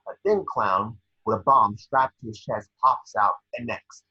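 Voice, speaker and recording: A man reading scene descriptions at an amateur table read, with a husky voice. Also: fairly low pitch, unhurried, pushed and bright, lo-fi sound.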